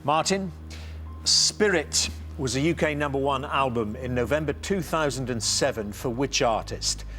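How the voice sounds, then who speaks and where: A man's voice: mid-range voice, normal speed, pushed and bright, soft background music. A man hosting a television quiz show, upbeat and teasing, reading questions and introducing teams.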